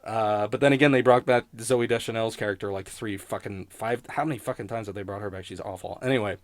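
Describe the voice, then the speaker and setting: A man's voice: on the low side, quick pace, no room sound. A man giving a casual, rambling spoken review in a blunt, sweary, grumbling manner. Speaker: host of a TV criticism show.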